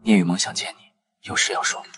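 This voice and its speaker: A man playing a calm, blunt young man in an audio drama, cool, terse and matter-of-fact. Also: fast, a little hiss or hum, natural voice.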